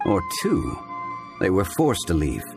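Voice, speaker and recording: A man playing a calm, erudite gentleman investigator in a video game, speaking in a formal, measured way. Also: low voice, creaky, loud music behind the voice, heavily acted. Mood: calm, analytical.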